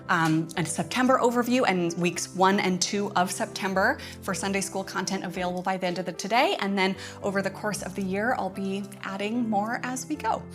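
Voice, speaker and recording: A woman's voice: mid-range voice, fairly bright tone. Chatty, enthusiastic woman hosting over music. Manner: chatty, enthusiastic, often chuckling. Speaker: host of a curriculum update livestream. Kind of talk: casual livestream announcements.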